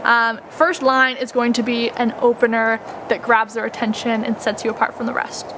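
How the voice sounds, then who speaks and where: A woman's voice: a little high, little pitch movement, normal speed, a bit bright, poor audio. A young woman in her twenties from the United States, giving casual advice in a chatty way full of "like" and "um", recorded outdoors in a garden on a camera mic. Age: 20s. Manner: chatty, filler-heavy with frequent "like" and "um". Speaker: travel vlogger. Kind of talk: casual instructional chat. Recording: outdoor ambience with passing traffic.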